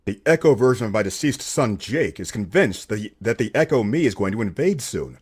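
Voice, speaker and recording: An actor playing a troubled, grieving male space admiral with a husky voice in a radio play. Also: on the low side, expressive, fairly quick, a little mellow.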